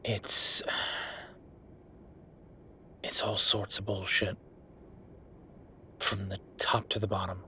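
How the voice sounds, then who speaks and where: An exasperated werewolf boyfriend played by a man in an audio roleplay, with a low-pitched, tense voice. He vents bitterly, swearing and sarcastic about his job. There is clear background noise behind him. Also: little pitch movement, takes it slowly, pauses often, sharp tone, exaggerated voice. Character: angry, exasperated male werewolf boyfriend, bitter office worker. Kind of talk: dramatic boyfriend roleplay monologue.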